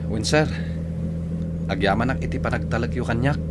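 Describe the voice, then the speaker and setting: A man's voice: medium pitch, normal speed, heavy background noise, lightly acted. A man acting a lazy, hard-drinking husband in an Ilocano radio comedy, whining and cocky, with exaggerated delivery and an Ilocano accent. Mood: eager, cocky. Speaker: radio drama actor.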